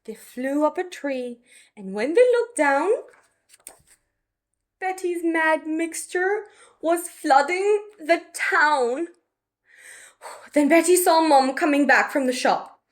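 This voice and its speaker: A woman reading a rhyming picture book aloud to children, expressive and dramatic, stretching out key words. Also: high voice, slow pace, pauses often. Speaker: children's story reader.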